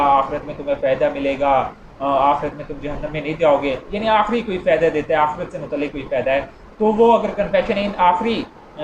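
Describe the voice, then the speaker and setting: A Pakistani man with a somewhat high voice teaches in an informal, explanatory way, often rewording what he has just said. The recording is a bit dull, with clear room echo around him. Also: noisy background. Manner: didactic, repeats and rephrases points, mixes in English legal terms. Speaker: law lecturer. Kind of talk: informal explanatory lecture with illustrations.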